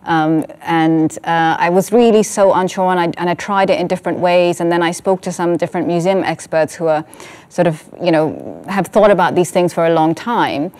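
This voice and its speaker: A woman speaking as a writer and filmmaker in a reflective artist talk, searching thoughtfully and haltingly for her words. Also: lowish voice, darkish tone. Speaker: writer and essay filmmaker on a panel.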